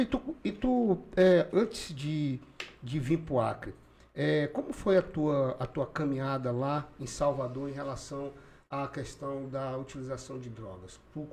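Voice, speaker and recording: A man's voice: medium pitch, a little mellow. A man hosting a casual podcast interview, chatty and prone to echoing his guest's answers back. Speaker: podcast host.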